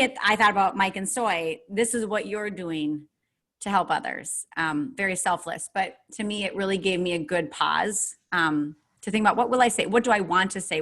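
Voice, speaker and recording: Brief: woman, 40s, co-host, bright and chatty, upbeat. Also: fairly low pitch, moderate pace. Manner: chatty and upbeat, self-teasing. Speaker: podcast co-host. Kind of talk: casual podcast chat.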